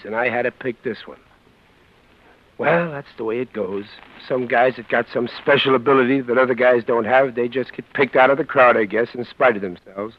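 An actor playing a tough, dim, touchy Brooklyn lug in a 1940s radio drama, slangy and wisecracking. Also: male voice, mid-range voice, close to an everyday voice. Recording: muffled old radio recording.

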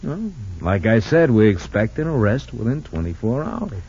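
An actor playing a folksy, excitable police lieutenant in an old radio drama. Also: male voice, fairly low pitch, normal speed, breathy edge, heavily acted. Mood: matter-of-fact. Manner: chuckling, exclamatory, folksy. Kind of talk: 1940s-50s radio drama acting. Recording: dull lo-fi old radio recording.

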